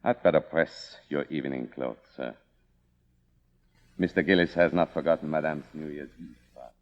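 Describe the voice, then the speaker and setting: A man playing a grave, formal, protective manservant in a mid-century radio drama, speaking with measured deference. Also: extremely low pitch. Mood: discreet. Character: solemn, formal, protective manservant to a faded star. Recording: dull vintage radio drama recording.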